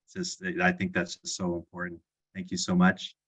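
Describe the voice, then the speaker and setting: A man's voice: low voice, completely flat delivery, normal speed, a bit bright, breathy edge. A man moderating an online session, affirming and reflective, often repeating words as he thinks.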